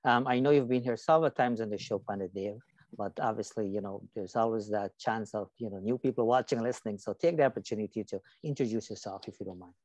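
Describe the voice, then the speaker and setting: A male host moderates an online discussion in a fairly low voice. The sound is dry, with little room in it. He talks casually and wordily, thanking people and restating his points. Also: fast, darkish tone, dry recording. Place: online video call.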